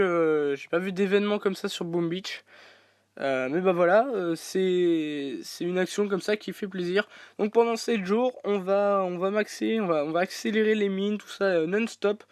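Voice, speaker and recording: A man's voice: high voice, no echo, clean studio sound. A French man with a head cold, rambling through casual gameplay commentary with many fillers and restarts. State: congested with a cold. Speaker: gaming YouTuber.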